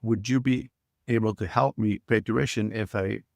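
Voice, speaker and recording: A man's voice: on the low side, steady tone, normal speed, gravelly, darkish tone, dry recording, clean studio sound. A middle-aged man from Israel, talking as a podcast guest with frequent hesitations and filler sounds. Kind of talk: casual interview talk.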